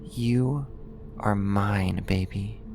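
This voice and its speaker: An actor playing an obsessive, possessive neighbor turned kidnapper in an ASMR roleplay, speaking in a deliberate, intimate, controlling way. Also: sits low, over music, exaggerated voice. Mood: seductive, possessive.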